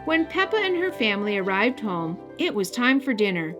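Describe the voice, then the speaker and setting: A woman hosting a children's story time, narrating a scripted picture book in a deliberate, expressive style. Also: mid-range voice, faint music behind. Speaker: storyteller, host.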